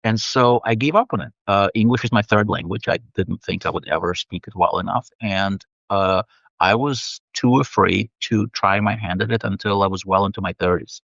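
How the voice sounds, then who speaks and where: A man's voice: fairly low pitch, normal speed, gravelly, fairly bright tone, no echo, dull audio. A man whose first language is Russian, from the Soviet Union, a guest chatting thoughtfully on a video call with many fillers and restarts. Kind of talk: casual interview chat.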